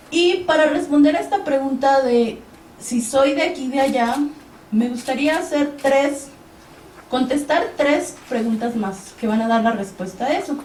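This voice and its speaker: High-voiced young woman in an echoey hall. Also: darkish tone, slight background noise. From Mexico. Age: young adult. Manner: earnest, storytelling with quoted asides. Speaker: panelist. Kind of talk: personal testimony at a panel. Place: event hall. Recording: echoey hall, distant mic.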